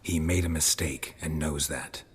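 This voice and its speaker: An actor playing a gruff, terse male monster hunter with a very deep, raspy voice and a dry, low-key manner. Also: steady tone, a little slow, full character voice. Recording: long hall reverb.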